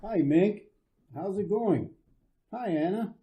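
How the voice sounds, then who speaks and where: A man playing an affable suburban husband and father in an old-fashioned radio drama, casual, folksy and gently concerned. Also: high-pitched, animated, slow pace, dull audio. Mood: jovial.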